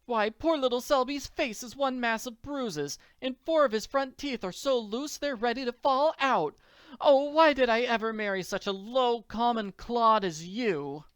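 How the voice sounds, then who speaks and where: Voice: mid-range voice, measured pace, fairly bright tone, clear, resonant tone, no echo, pitched above the performer's own voice, lightly acted. A performer voicing a haughty, melodramatic wife from a comic strip, nagging in indignant, overwrought exclamations. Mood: scornful. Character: shrill, scornful rich wife, nagging and melodramatic.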